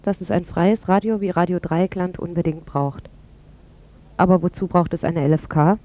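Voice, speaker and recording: Radio presenter, woman, soft-edged mellow voice, mid pitch, reading scripted news commentary.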